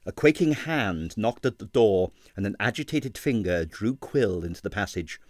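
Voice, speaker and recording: A man reading scripted literary narration as an audiobook storyteller, in an expressive manner. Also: lowish voice, normal speed, no echo, clean studio sound.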